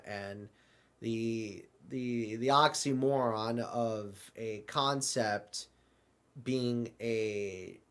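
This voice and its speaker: A man playing bewildered, apologetic travelers in an amateur audio drama, with a halting, stammering delivery. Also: mid-range voice, a bit bright. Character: bewildered, apologetic accidental travelers stranded in the void.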